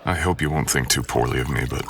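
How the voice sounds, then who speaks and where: A man's voice: a bass voice, quick pace, over music. A man acting as a mysterious, possessive, suave wealthy estate host in a boyfriend ASMR roleplay, speaking in formal, courtly, old-fashioned phrases. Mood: suave, charming.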